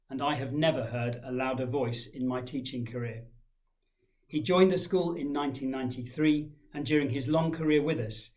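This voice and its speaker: Formal man reading an assembly address. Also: medium pitch. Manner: measured, formal, reading from a folder. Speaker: head teacher. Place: small indoor room with framed pictures.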